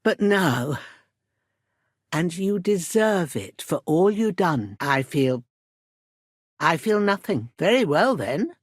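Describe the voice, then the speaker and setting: An old village mother in a dramatic video game. Her voice is weathered and husky, recorded close and dry. She speaks slowly and earnestly, plain-spoken and heavy with care. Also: on the low side, sing-song, no echo, full character voice. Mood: grim, subdued.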